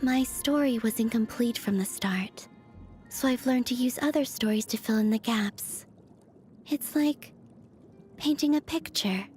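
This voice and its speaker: A woman playing a gentle, wistful young moon maiden in video game voice lines, soft-spoken, breathy and measured, telling stories with otherworldly calm. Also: a little high, faint music behind, close to an everyday voice. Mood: tender, wistful. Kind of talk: video game character voice lines, storytelling monologue.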